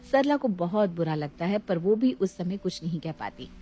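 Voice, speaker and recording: A woman's voice: fairly low pitch, lively intonation, moderate pace, warm tone. A woman storyteller reading scripted narration of a moral family story.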